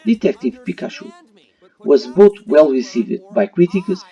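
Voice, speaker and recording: A man's voice: on the high side, lively intonation, unhurried, dark tone, breathy edge. A man giving an opinion review in voice-over, with non-native English phrasing. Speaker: YouTube movie reviewer. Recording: muffled, slightly distant mic. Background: faint music.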